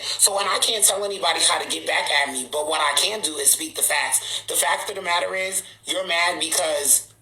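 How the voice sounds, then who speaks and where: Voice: medium pitch, sharp tone, echoey room, poor audio. A livestream host, born in Trinidad and raised in Boston, talking bluntly and sassily to viewers. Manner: blunt, sassy, outspoken. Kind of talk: livestream rant answering viewers.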